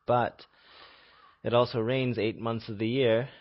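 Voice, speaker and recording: A man's voice: mid-range voice, steady tone, unhurried. A man from Canada, musing hesitantly in a casual answer. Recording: slightly muffled recording.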